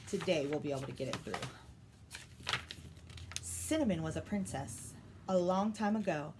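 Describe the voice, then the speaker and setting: A woman's voice: fairly low pitch, lively intonation, normal speed. A woman reading a children's story aloud as a storytime host. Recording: some background noise.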